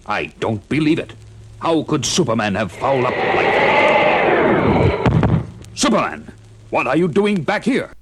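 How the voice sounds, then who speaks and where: A man's voice: medium pitch, very animated, a little slow, pauses often, a little hiss or hum, poor audio. An actor playing a grave, dismayed male President, secretly an impostor, in an old superhero audio drama.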